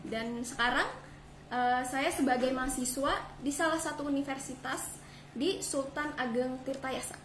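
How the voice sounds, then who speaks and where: A woman's voice: high-pitched, unhurried, fairly bright tone. A woman in her early twenties from Banten, Indonesia, giving brief, hesitant answers as a student interview guest. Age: early 20s. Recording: echoey room, faint noise.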